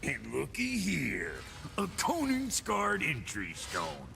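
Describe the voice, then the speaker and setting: A man voicing a gruff, crude, foul-mouthed dwarf blacksmith in a video game, with a blunt, grumbling, sarcastic manner. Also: fairly high pitch, wildly swooping pitch, slow, background noise, full character voice. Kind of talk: video game character acting.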